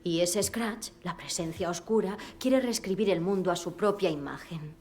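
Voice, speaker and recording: A woman acting a low-voiced, focused investigator. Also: a little mellow, lightly acted. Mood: probing. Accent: Spanish (Spain). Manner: measured, serious, probing. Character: focused, skeptical female investigator piecing together a case. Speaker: video game voice actor. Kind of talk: dramatic video game dubbing.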